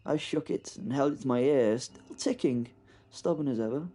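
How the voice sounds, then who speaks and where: A man's voice: medium pitch, warm tone. Wry British man narrating a horror serial. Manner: wry, halting narration with frequent repeats. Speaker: podcast host and narrator. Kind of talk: first-person horror audio drama narration.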